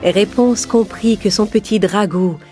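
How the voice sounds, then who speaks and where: A woman's voice: mid-range voice, measured pace, mellow. A woman narrator reading a scripted fairy tale for children. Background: background music.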